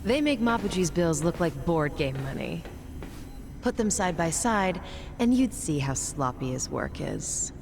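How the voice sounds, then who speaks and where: A woman's voice: on the low side, sing-song, unhurried. A woman acting a confident, flirtatious female crime boss in an English video game dub, with a poised, teasing manner. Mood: boastful, assured. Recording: clear background ambient noise.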